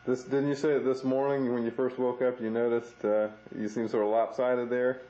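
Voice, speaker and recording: A man's voice: mid-range voice, flat delivery, moderate pace, dark tone. A young man narrating a home video as he films his pregnant wife, chatty and easygoing. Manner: chatty, narrates while filming. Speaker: father filming home video. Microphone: camcorder mic. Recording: dull lo-fi VHS tape.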